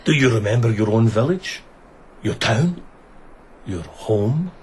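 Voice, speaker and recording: A bragging bandit swordsman for a radio drama, with noticeable ambient noise around him. His lowish voice taunts with false courtesy and looks down on everyone he addresses. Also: animated, slow pace. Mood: mocking, suspicious. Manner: taunting, condescending, mock-courteous.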